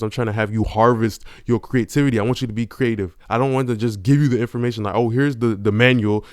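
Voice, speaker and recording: A man runs a casual mixing tutorial in a relaxed, slangy way, with plenty of "you know" fillers. His lowish voice comes through smooth and crisp on a large-diaphragm condenser. The sound is dry and close, with little room around it. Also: dry recording. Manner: laid-back slangy delivery with many fillers. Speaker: YouTube host teaching vocal mixing. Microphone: large-diaphragm studio condenser.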